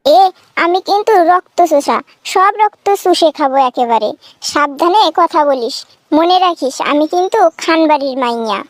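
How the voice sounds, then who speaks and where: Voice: high voice, lively intonation, normal speed, no echo. A performer voicing a fierce, sarcastic village wife in a comic cartoon, taunting sharply in a rural Bangladeshi Bengali accent. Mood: threatening, defiant. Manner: taunting, sharp-tongued, threatening. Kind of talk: comic cartoon dialogue, exaggerated bickering.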